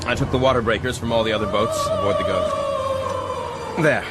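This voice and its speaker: An actor playing an earnest, considerate gentleman castaway in a radio drama, with a mild, hesitant, courteous manner. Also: mid-range voice, wildly swooping pitch, moderate pace, loud music behind the voice. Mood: practical. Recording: noisy boat and water ambience behind.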